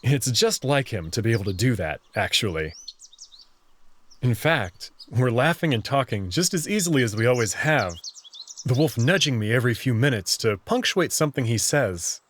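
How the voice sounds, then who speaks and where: A man reading a first-person story narration in an expressive way. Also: mid-range voice, normal speed, a little hiss or hum. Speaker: narrator and reader of a visual novel.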